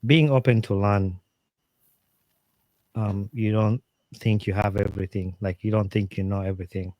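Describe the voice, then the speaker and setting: A man with a slightly low voice talks earnestly and animatedly as a podcast guest. He restarts often and fills pauses with "um" and "like". The sound is dry and close, with little room in it. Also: fairly even intonation, darkish tone, no room sound. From Uganda. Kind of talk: casual interview talk, giving advice.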